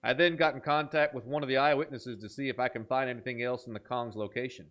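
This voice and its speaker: A man's voice: medium pitch, quick pace. A man hosting a horror reaction video, talking casually and enthusiastically, joking around and reading captions aloud. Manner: animated, jokey, enthusiastic.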